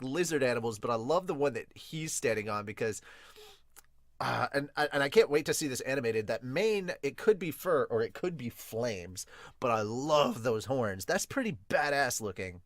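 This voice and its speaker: A man gives a casual, enthusiastic fan reaction as a podcast host. His voice is medium-pitched, and he gushes with the odd mild swear. The recording is dry and close. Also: expressive, moderate pace, no room sound. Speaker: host of an anime and manga review podcast.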